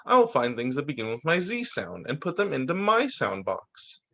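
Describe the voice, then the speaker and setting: A man's voice: mid-range voice, huge pitch swings, normal speed, gravelly, pushed and bright, heavily acted. A man voicing cheerful, eager little alphabet-letter characters from a children's storybook, with a simple delivery.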